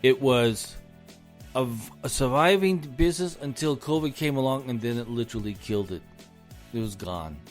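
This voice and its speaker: A man's voice: mid-range voice, normal speed, faint music behind. A man hosting a solo podcast, losing his voice, talking in an indignant tone and repeating words as he rants. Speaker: podcast host.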